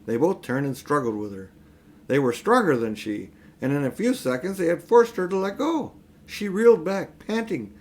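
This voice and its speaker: Older male audiobook reader: lightly high pitch, very lively intonation, emphatic children's storytelling. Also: normal speed.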